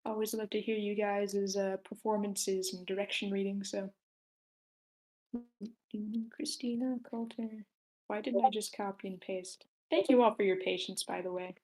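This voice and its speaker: A woman's voice: mid-range voice, fairly even intonation, moderate pace, a little mellow, low-quality recording. A woman hosting an online table read, talking casually and politely as she organizes the group. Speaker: host of an online table read, casting parts. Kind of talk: casual group call chat. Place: online voice call.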